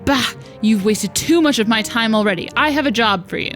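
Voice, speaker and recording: A woman's voice: on the high side, brisk, a bit bright, lightly acted. A woman voicing a haughty, scornful older stepmother who gives impatient orders, reading visual novel dialogue aloud. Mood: scolding. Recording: music behind the voice.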